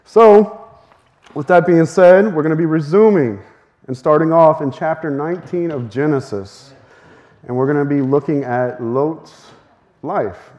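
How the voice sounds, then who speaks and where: Man, preacher, earnest sermon, slightly strained voice, somewhat high. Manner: earnest, with fast bursts and soft laughs. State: voice a little strained. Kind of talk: sermon teaching.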